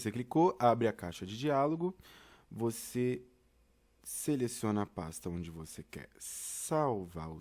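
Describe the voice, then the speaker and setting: Man, Brazilian accent, mid-pitched, mellow tone, informal instructor, conversational, frequent check-ins with listeners. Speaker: instructor presenting a tutorial. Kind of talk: informal step-by-step tutorial.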